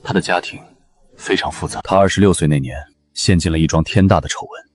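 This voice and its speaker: A male actor as an aloof, powerful man with a very low voice. He speaks in terse, dry phrases, cool and commanding, as the lead of an animated drama. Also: quick pace. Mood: cool, factual.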